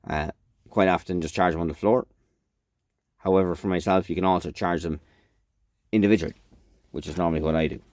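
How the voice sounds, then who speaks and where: A man giving a casual, unscripted product demonstration as a reviewer, in a halting, matter-of-fact way. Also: sits low, steady tone, darkish tone, dry recording.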